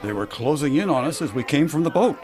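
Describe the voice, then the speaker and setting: A man's voice: fairly high pitch, animated, fast talker, darkish tone, close to an everyday voice. A man playing a frightened, fretful colleague in a 1930s-style radio drama. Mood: fearful. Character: frightened, fretful male colleague under siege.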